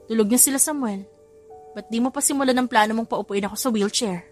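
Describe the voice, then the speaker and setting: A woman's voice: a little high, quick pace, darkish tone, faint music behind, natural voice. A woman with a Filipino accent voicing a playful, affectionate young mother in a romance audiobook. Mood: teasing.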